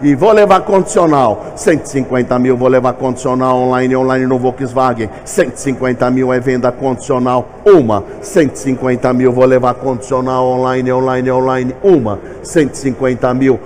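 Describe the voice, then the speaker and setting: A man with a Brazilian Portuguese accent working as an auctioneer, calling out bids for vehicles in a fast, repetitive chant. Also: mid-range voice, fairly even intonation, a little mellow, slight background noise.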